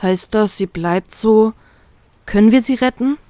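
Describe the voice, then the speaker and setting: A woman reader voicing a young male pirate who talks in a hurried, anxious way. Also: mid-range voice, dark tone, no room sound, natural voice. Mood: worried. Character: young male pirate crewmate, hectic and worried.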